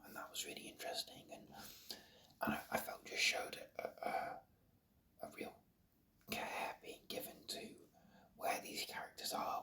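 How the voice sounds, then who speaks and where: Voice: sharp tone, recorded from a distance. A reviewer whispering an ASMR video review in a hesitant, rambling, stop-start way.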